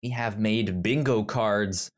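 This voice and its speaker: A man's voice: on the low side, takes it slowly. A man co-hosting a movie podcast, talking in a hesitant way, trailing off and restarting his sentences. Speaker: podcast co-host. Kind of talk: casual movie review chat.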